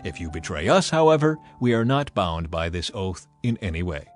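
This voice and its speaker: A man's voice: deep voice, sing-song, normal speed, pitched below the performer's own voice. Man reading as firm Israelite spy, music behind. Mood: firm, warning. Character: firm, oath-bound young Israelite spies.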